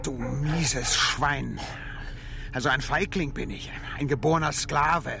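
A man's voice: on the high side, slow, gravelly, background noise. An actor playing a furious, shaken man in a radio drama, intense and bitter in delivery. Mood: bitter defiance. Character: Frank, furious, shaken neighbour, defiant and anguished.

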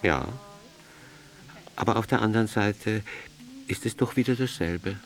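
A man playing a wry, cynical gentleman lover in a literary dialogue, with a dry, ironic manner. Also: on the low side, unhurried.

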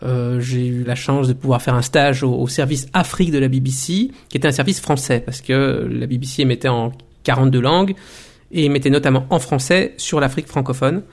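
A man in his forties, a documentary maker and former journalist, telling his story in a radio interview with thoughtful pauses and restarts. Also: medium pitch. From Belgium. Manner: thoughtful, many hesitations and restarts.

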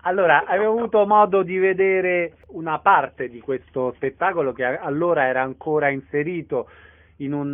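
A man hosting a casual radio interview, introducing his guest and prompting him. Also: fairly high pitch. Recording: narrow phone-like radio sound.

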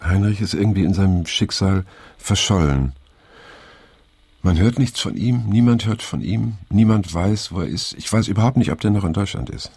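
An actor playing a weary, reflective middle-aged man in naturalistic radio drama, speaking haltingly and pensively with a gravelly, husky voice. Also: sits low, lively intonation, darkish tone, dry recording, exaggerated voice. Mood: resigned, somber. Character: weary middle-aged male survivor of a hippie circle, reflective.

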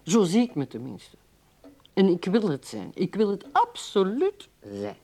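Embittered, driven career mother in a radio play: intense, unhurried, very expressive intonation. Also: mid-range voice, exaggerated voice. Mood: insistent, wistful. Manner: intense, dramatic, swinging between speech and whispered brooding. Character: bitter, ambitious middle-aged career mother who drinks. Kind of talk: radio drama acting, dialogue and inner monologue.

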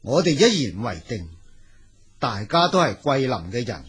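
A man's voice: mid-range voice, big pitch swings, normal speed, a bit bright, breathy edge, heavily acted. A man with a Cantonese accent playing a kindly, idealistic tea grower in an audio drama, genial and persuasive. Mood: genial, hopeful.

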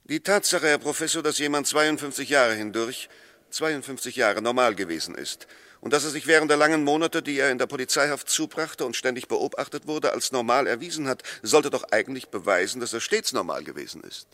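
An actor playing an eloquent, sceptical defence lawyer in a 1960s German radio drama, speaking in formal, rhetorical phrases. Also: male voice, mid-range voice, expressive, fairly quick, bright, forceful tone, no echo. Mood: probing, sceptical. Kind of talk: 1960s German radio drama acting, courtroom-style argument.

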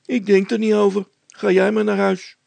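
A man's voice: sits high, little pitch movement, moderate pace, gravelly, a little mellow, no echo, voice pushed up. A man voicing a cocky young poacher boy in a story, cheeky, stubborn and boastful, with colloquial speech. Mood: defiant.

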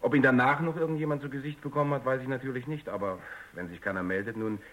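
A man's voice: mid-range voice, fast talker, a bit bright, close to an everyday voice. An actor playing an unsure, defensive young male physicist in a German radio drama, speaking hesitantly. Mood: guarded.

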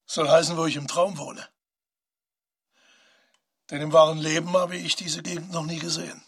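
A haunted man's inner voice in a radio play, probing and knowing. It sits fairly high for a man, with a creaky, husky grain, and sounds close and dry. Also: little pitch movement, moderate pace, dry recording. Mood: probing, musing. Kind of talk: literary radio drama monologue.

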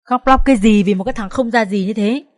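A woman's voice: lowish voice, normal speed, a little mellow, no room sound, studio quality, close to an everyday voice. A woman voicing a blunt, fiercely loyal young woman who scolds coarsely and emphatically in an audio story. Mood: angry scolding. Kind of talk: dramatised audio story dialogue.

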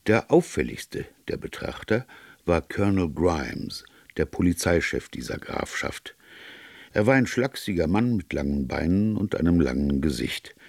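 A man's voice: rumbling low, creaky, darkish tone, breathy edge, no echo. A man reading a literary detective story as narrator in a scripted audiobook style.

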